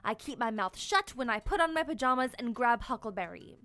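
Young female children's storyteller, high voice, expressive and playful delivery, light music underneath. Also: a little fast. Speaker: storyteller and children's channel host. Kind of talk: animated children's book read-aloud. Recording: music behind the voice.

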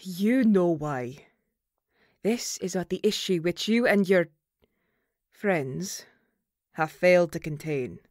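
A woman playing a stern, duty-bound banshee mother in an audio roleplay, speaking formally and gravely. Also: fairly low pitch, lively intonation, slow, long pauses, darkish tone, no room sound, studio quality.

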